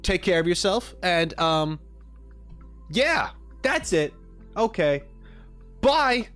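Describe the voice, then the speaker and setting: A man's voice: high-pitched, sing-song, slow, a bit bright, soft background music. A man chatting casually as a livestreamer, rambling and slangy as he talks to his viewers. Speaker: streamer hosting a live chat.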